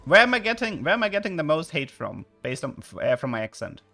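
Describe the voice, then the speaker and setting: Lively German-accented man streaming. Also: a little high, fast talker, creaky, soft background music. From southern Germany. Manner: chatty, with sudden excited outbursts. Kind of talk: live game commentary and chat with viewers.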